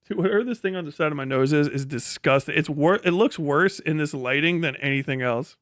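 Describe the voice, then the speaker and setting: Higher-pitched American man, blunt livestream chatter. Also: normal speed, a bit bright, no echo, clean studio sound. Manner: profane, blunt, teasing. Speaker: live streamer and host reading chat. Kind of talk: casual livestream chat with joking rants.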